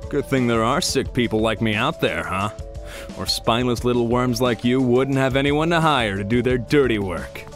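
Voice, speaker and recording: An actor playing a gleeful, theatrical pyromaniac villain in an audio drama, taunting and grandiose. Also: male voice, medium pitch, normal speed, faint music behind, lightly acted.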